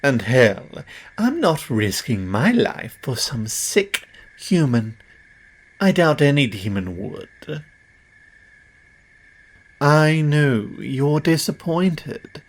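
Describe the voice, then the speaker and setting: A man's voice: medium pitch, very animated, drawn-out words, pauses often, full character voice. A man playing a cocky, condescending, powerful demon who makes deals, performed as a theatrical audio roleplay spoken straight to the listener. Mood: resigned, blunt.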